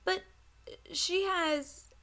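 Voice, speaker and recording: A woman's voice: very high-pitched, fairly even intonation, extremely slow, pushed and bright, exaggerated voice. A woman voicing a playful, gossipy pony who talks in a coy, teasing way in a story reading. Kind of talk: audiobook character acting.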